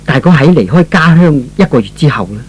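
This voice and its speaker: A man playing a gentle, remorseful young man in a radio drama, earnest and pleading. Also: on the high side, lively intonation, quick pace, slightly rough, poor audio. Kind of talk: Cantonese radio drama acting.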